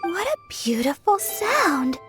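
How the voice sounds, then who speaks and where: A woman playing a small, earnest, excitable little girl in a game, childlike, with music behind. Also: very high voice, takes it slowly, heavily acted. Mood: wondering. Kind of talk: scripted game dialogue. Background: background music.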